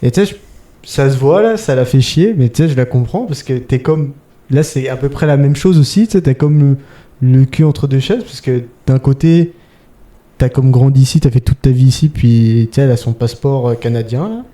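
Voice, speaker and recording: A man's voice: mid-range voice, normal speed, warm tone. A young man with a French accent, chatting casually as a podcast guest, full of fillers like "tu sais". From France. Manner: informal, many fillers like "tu sais". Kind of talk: casual chat. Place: living in Quebec.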